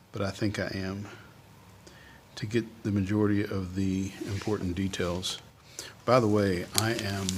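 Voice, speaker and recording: Guy with a lowish voice thinking out loud while he draws, unscripted, bit of noise behind him. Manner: musing, thinking aloud, trailing off. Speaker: artist hosting a drawing video. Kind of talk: casual unscripted art demonstration talk.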